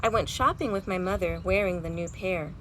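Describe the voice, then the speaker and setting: A woman reading a children's storybook aloud in an expressive, animated way with dramatic pauses. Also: on the low side. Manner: animated, dramatic pauses, chanting sound words. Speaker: librarian reading picture books at storytime. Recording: noisy home recording.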